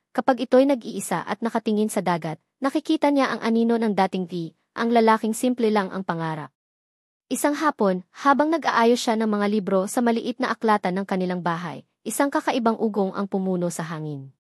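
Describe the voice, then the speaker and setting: A woman reading a dramatic love story aloud in a steady, solemn manner, pitched at an ordinary middle range. The recording is dry and close, without room echo. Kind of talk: scripted dramatic narration.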